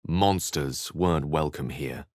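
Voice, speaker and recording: Eloquent low-voiced wizard gentleman. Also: unhurried, dry recording, studio quality. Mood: grave storytelling. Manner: articulate, theatrical, wry. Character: eloquent, witty, refined human male wizard. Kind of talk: video game character voice lines and narration.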